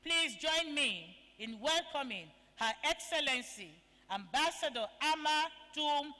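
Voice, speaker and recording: A woman's voice: expressive, unhurried, sharp tone. A woman serving as master of ceremonies, reading formal guest introductions with honorifics into a handheld microphone. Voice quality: high voice. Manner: ceremonious, reads guest bios aloud with honorifics. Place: large conference hall. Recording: reverberant conference hall.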